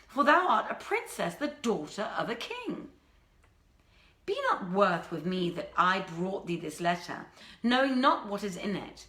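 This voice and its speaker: A woman voicing a deferential old nurse in a storybook reading, flattering and pleading in archaic speech. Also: mid-range voice, roomy sound.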